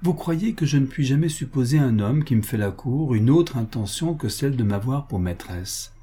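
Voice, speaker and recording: A man's voice: medium pitch, expressive, moderate pace, mellow, breathy edge. A man voicing a proud, passionate society lady in love, with emphatic, pleading delivery, in a dramatic reading of a novel. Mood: indignant, earnest.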